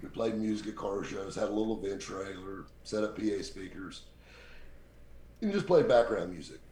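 A man, telling stories as a guest in a casual chat. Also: normal speed. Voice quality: very deep voice. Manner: animated, mimics voices in his stories. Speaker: podcast guest, radio show host. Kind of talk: casual storytelling. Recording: echoey room.

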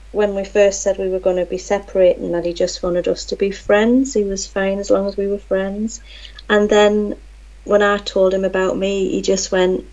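Flat-voiced Geordie woman, muffled audio. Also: mid-range voice. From Newcastle, North East England. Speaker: guest. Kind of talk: casual chat.

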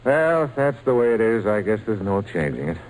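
A humble young ballplayer in a vintage radio drama. His low voice moves with a lively swing, and he talks plainly and earnestly, a little hesitant. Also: a little fast, poor audio. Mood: reluctant, earnest. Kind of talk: old-time radio drama acting.

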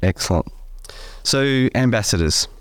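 A man co-hosting a faith podcast, slightly croaky from a cold, talking in a relaxed way with many fillers. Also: mid-range voice, a little slow, dry recording. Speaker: podcast co-host. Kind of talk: casual faith podcast chat.

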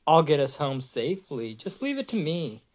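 A boastful little rabbit from a storybook, eager and teasing, voiced by a woman reading aloud. He sits a touch higher than her own low voice and swings freely up and down. Everything he says bounces with swagger. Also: sing-song, moderate pace, a little mellow.

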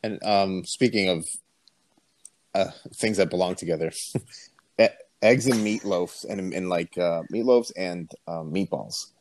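A man from Puerto Rico co-hosting a podcast, telling stories in a relaxed, easygoing way with plenty of ums. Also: low-pitched, measured pace, no echo. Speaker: podcast co-host. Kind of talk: casual chat.

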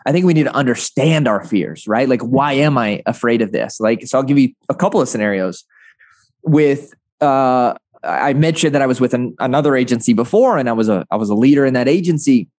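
A man's voice: mid-range voice, lively intonation, fairly quick, a little mellow, dry recording. A man talks conversationally as an interview guest. He offers advice through personal anecdotes and keeps tagging his points with "right?". The sound is dry, with little room around the voice. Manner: frequent "right?" tag questions. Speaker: interview guest, agency founder.

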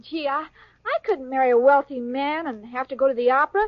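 An actress playing a sweet, dim-witted young woman in a 1940s radio sitcom, wide-eyed and breathy. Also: sits high, brisk, close to an everyday voice. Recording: dull old radio broadcast, audience laughter, hiss.

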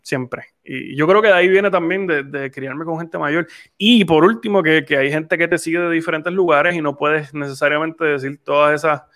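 A man's voice: on the high side, moderate pace, a bit bright. A man in his twenties with a Puerto Rican accent, hosting a podcast in casual, rambling chat. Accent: Puerto Rican Spanish. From Puerto Rico. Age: 20s. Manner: rambling, frequent restarts and fillers. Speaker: podcast host.

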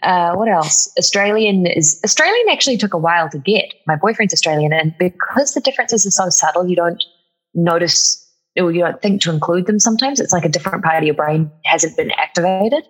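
A young woman from New Zealand with a New Zealand accent, chatting as a guest in a relaxed interview, with a lilting upspeak. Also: lowish voice, brisk, breathy edge, rough recording. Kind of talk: casual interview chat.

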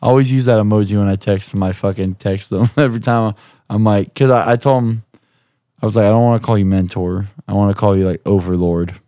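A young man hosts a podcast with a hoarse, slightly low and warm voice. He rambles and swears, making jokes as he goes. The sound is dry and close. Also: fairly even intonation, fast talker, dry recording. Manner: rambling, profane, jokey. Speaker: podcast host. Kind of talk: casual chat.

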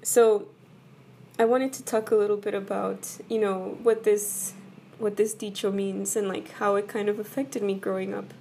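A woman from El Paso, Texas, hoarse from a cold, talking casually and personally to her viewers, leaning on "you know" and "right?". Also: medium pitch, little pitch movement, normal speed, a little mellow, slight background noise. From El Paso, Texas, USA. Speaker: vlogger. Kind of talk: casual personal talk to camera.

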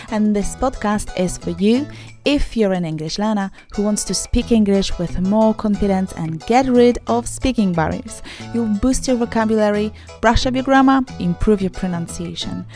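A woman's voice: mid-range voice, animated, moderate pace, a little mellow, faint music behind. A woman from Poland with a Polish accent, hosting an English-learning podcast in an upbeat, emphatic teaching manner. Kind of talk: podcast lesson, talking to learners.

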